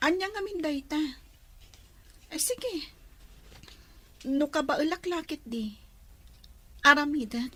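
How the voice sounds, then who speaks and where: A woman's voice: high voice, animated, slow, pauses often, bright, forceful tone, heavily acted. A woman playing a timid, anxious, dutiful young daughter in a radio drama. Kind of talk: Ilocano radio drama acting.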